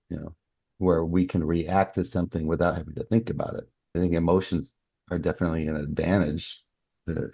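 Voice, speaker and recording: A man with a gravelly, husky voice talking ideas through speculatively as an interview guest. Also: low-pitched, dark tone, no echo. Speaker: guest, novelist. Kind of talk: conversational interview discussion. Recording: dull, muffled dry recording.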